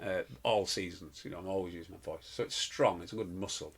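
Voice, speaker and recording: A middle-aged man chats as an interview guest, wandering through his stories with plenty of fillers and restarts. His voice sits low and husky, with a slightly breathy edge. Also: fairly even intonation, fast talker. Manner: rambling, anecdotal, many fillers and restarts. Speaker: pantomime performer and guest. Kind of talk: casual interview chat.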